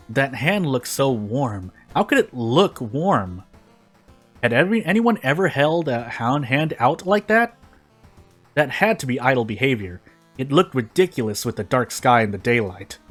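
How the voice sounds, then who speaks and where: A man's voice: mid-range voice, a bit bright. Man reading a story aloud over music. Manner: stumbles over words and rereads phrases. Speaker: fan fiction reader on a gaming video. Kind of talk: informal read-aloud of a story.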